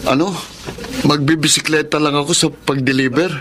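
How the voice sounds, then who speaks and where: A man's voice: a little high, steady tone, normal speed, a bit bright, a little hiss or hum, lightly acted. An actor playing a lazy, reluctant older brother, a young man making excuses in a radio drama. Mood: reluctant, offhand. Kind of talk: Tagalog radio drama acting.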